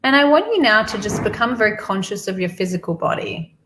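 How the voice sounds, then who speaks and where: A woman guiding a meditation slowly and soothingly, repeating phrases with pauses, in a creaky, gravelly voice. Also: medium pitch, expressive, fairly bright tone, slight background noise. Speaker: guided meditation leader. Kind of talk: guided money meditation, spoken softly to the listener.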